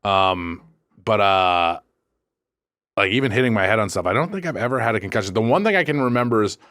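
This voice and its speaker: Animated, rambling man telling stories. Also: lowish voice, fairly quick, dry recording, studio quality. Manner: rambling storyteller, swears freely, animated quoting. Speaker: podcast co-host. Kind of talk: casual comedic chat.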